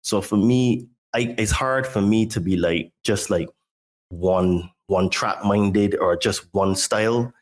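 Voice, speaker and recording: A man from Barbados with a fairly low voice is a guest on a podcast. He talks about his work as a fitness coach with casual enthusiasm, and fills his talk with "dude" and "right?". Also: takes it slowly. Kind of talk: casual chat.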